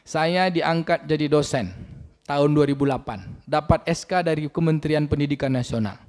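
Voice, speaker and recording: Preacher guy in his 40s, pretty high voice, keeps asking the crowd questions, echoey mosque hall. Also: moderate pace. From Riau, Indonesia. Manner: lively, questions the congregation directly. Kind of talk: mosque sermon. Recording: long mosque hall reverb.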